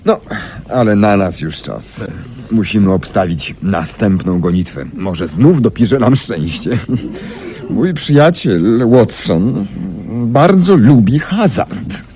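An actor playing a brilliant, self-assured detective, explaining deductions theatrically with dramatic pauses in a radio drama. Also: male voice, lowish voice, animated, dark tone. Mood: wry. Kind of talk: 1970s Polish radio drama acting. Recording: noisy 1970s radio archive recording.

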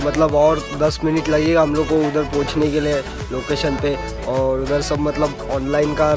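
Young man vlogging by the roadside. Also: a little high. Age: young adult. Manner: rambling, lots of fillers and restarts. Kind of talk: casual travel vlog. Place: outdoors by a roadside. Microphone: phone held at arm's length.

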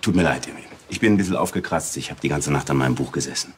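A man's voice: very deep voice, racing, a little hiss or hum. An actor playing a polite, slightly wired surgeon in his forties, speaking matter-of-factly in a TV crime comedy.

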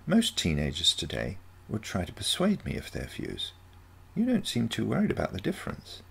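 A man's voice: on the low side, wildly swooping pitch, normal speed, vocal fry, breathy edge, pitched above the performer's own voice, exaggerated voice. A man voicing a wry, sarcastic, skeptical grandmother in a short story reading.

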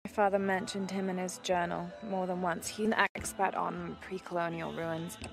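A woman's voice: fairly low pitch, flat delivery, moderate pace, creaky. An actress playing a determined, scholarly young woman archaeologist in a naturalistic game cutscene, intent and thoughtful. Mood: subdued, businesslike. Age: young adult. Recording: music behind the voice.

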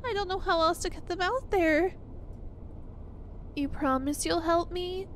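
A woman's voice: extremely high pitch, unhurried, slight background noise. A woman playing a clingy, obsessive young girlfriend in an ASMR roleplay, sweet, gushing and emotional, with an apologetic, hesitant way of talking. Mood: earnest, clingy.

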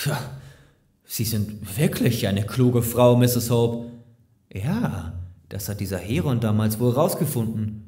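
A man playing a slick, overeager lawyer in an audio drama, flattering and evasive, with hesitant persuasion. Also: mid-range voice, slow pace. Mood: smooth, persuasive. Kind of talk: German audio drama acting. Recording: roomy, echoing sound.

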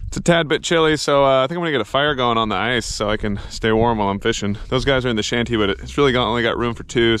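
A man's voice: mid-range voice, a bit bright, slight background noise. A man in his thirties vlogging casually to a handheld camera outdoors in the snow, talking in an easygoing, upbeat way. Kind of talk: casual vlog talk to camera. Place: outdoors on a frozen lake in snowy woods. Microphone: camera held at arm's length. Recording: background music under the voice.

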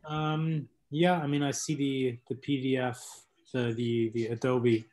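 A man attending an online seminar, making short halting remarks. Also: mid-range voice, flat delivery, darkish tone. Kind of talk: casual remarks on a video call.

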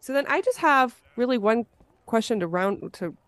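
A woman's voice: on the high side, animated, normal speed, a bit bright, no room sound. A woman hosting a podcast in a casual, conversational style. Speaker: podcast host.

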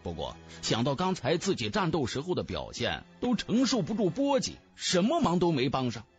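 A man reads fantasy fiction as a dramatic storyteller. His pitch is on the high side and swings very widely, with music playing behind him. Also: huge pitch swings, normal speed. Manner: theatrical, storytelling. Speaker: audiobook narrator. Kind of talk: dramatic scripted narration of a fantasy novel.

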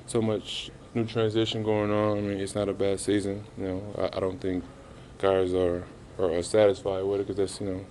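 A man in his thirties answering reporters after a game, halting and subdued, with many fillers. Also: low voice, little pitch movement, a little mellow, a little hiss or hum. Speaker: basketball player at a postgame press conference. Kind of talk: postgame press interview. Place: arena press room, indoors. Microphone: reporters' handheld mics held close.

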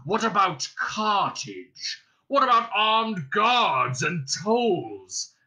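A man voicing a huge, wily old male dragon, slow, mocking and boastful, in a storybook reading. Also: high-pitched, very animated, sharp tone, pitched above the performer's own voice, heavily acted. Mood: sly mocking.

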